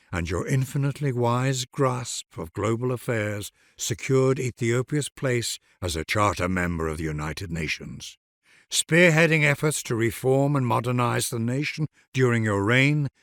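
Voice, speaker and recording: Low gravelly guy doing grand dramatic game narration, really dry sound, solemn and declamatory. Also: dry recording.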